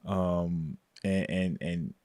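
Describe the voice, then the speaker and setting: A man co-hosting a podcast, speaking in a relaxed, halting way with lots of fillers. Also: low-pitched, no room sound, clean studio sound. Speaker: podcast co-host. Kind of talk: casual chat.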